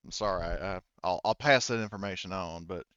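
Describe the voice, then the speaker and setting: A man voicing a small-time drug dealer in a tabletop game, an evasive, folksy underling who talks haltingly in slang. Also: low-pitched, fairly bright tone, smooth, no room sound, highs cut off, voice pushed down. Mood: evasive, apologetic.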